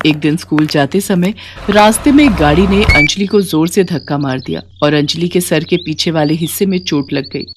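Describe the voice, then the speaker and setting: Lowish-voiced woman narrating a story. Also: moderate pace, noisy background. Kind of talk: scripted moral-story narration.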